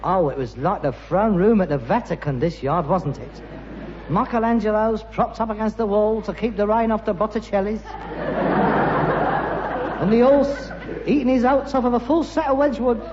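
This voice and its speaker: A man's voice: high-pitched, moderate pace, crowd noise, lightly acted. An actor playing a bitter, pretentious rag-and-bone man in a British sitcom, with a London accent and a sarcastic, mock-grand delivery. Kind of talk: British sitcom acting before an audience. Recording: muffled, noisy, reverberant old sitcom soundtrack.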